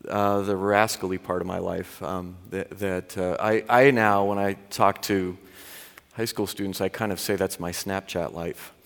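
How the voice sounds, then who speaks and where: Candid, low-voiced man in a hall. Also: a bit bright. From US Pacific Northwest. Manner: candid and reflective, self-deprecating. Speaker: college president. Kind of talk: personal testimony. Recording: large hall with long reverb.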